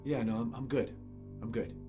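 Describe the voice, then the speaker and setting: A shaken, determined husband , played by a male actor, talks in a mid-range voice, hesitant and repetitive. It is naturalistic video game drama with clear guitar music behind. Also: mid-range voice, fairly even intonation, moderate pace, highs cut off, natural voice. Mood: shaken, urgent. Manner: hesitant, repeating himself. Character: shaken, determined husband seeking his missing wife.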